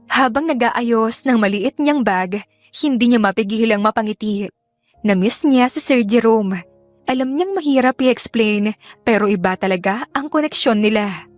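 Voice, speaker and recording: A woman's voice: on the high side, moderate pace, no echo, soft background music. A woman narrator reading scripted romantic fiction as dramatic storytelling. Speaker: audiobook narrator.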